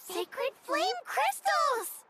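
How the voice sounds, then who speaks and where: An eager little game companion with an extremely high, bright voice. The delivery is bubbly and excitable, swinging widely in tone. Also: female voice, very slow, slight background noise, full character voice, rough recording. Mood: delighted. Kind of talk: video game voice acting.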